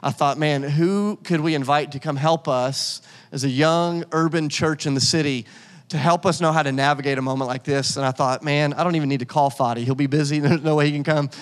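A pastor speaks from the stage in a relaxed, conversational way, often restarting his phrases. His voice sits a little high for a man. Long reverb from the church hall trails behind each phrase. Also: a little fast. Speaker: lead pastor. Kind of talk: sermon and guest introduction. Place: church sanctuary.

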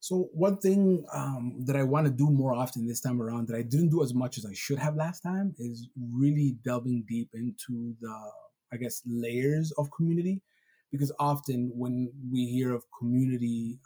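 A man's voice: medium pitch, mellow, slightly rough. A man born in Somalia, a political candidate, talking reflectively and a little hesitantly in a casual chat.

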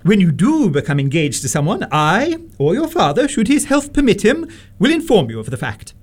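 Give the voice of an imperious middle-aged Victorian lady acted by a man, pitched high for a male voice and swinging wildly up and down. The delivery is pompous and deliberate, full of haughty disapproval, in a radio stage-comedy style. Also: wildly swooping pitch, breathy edge, exaggerated voice. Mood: stern, indignant. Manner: pompous, deliberate, swooping emphasis.

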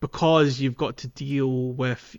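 A man's voice: mid-range voice, steady tone, takes it slowly. A man hosting a gaming podcast in casual, informal conversation. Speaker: podcast host. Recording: dull, lo-fi voice recording.

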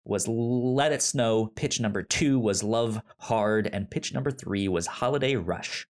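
A man co-hosts a laid-back podcast conversation, talking at an unhurried, slow pace. He stammers and restarts words often, leaning on fillers as he describes movies. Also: fairly low pitch. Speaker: podcast co-host. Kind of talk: casual chat.

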